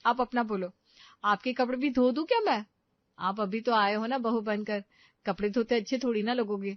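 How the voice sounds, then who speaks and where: A woman's voice: fairly high pitch, normal speed, no echo, lo-fi sound, natural voice. A woman playing a kind young sister-in-law in a family story, reassuring and informal.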